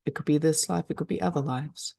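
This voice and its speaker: Gravelly, mellow woman guiding a meditation. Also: deep voice, no echo, clean studio sound. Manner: slow, calm, soothing delivery with frequent pauses. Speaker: meditation guide. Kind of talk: guided meditation.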